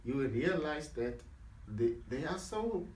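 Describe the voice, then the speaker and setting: A man's voice: fairly high pitch, very animated, takes it slowly, darkish tone. A South African man in his forties whose first language is Afrikaans, earnestly telling his life story as an interview guest. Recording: echoey room, mic at a distance.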